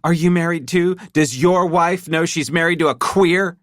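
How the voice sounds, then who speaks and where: Man voicing a high, lively, shaking wife on the edge of hysteria; storybook reading. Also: normal speed, no room sound, studio quality. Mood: accusing. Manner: shaking, accusing, rising to shrieks. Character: distraught betrayed middle-aged wife, shrill.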